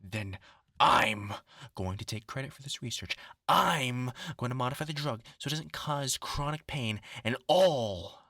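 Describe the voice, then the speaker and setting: A gloating, vengeful superhuman scientist played by a voice actor in a horror audio drama. The delivery is slow and theatrical, swinging widely in pitch with mocking menace. The voice is bright and sharp, recorded very dry and close. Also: lively intonation, no room sound. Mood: gloating, cruel.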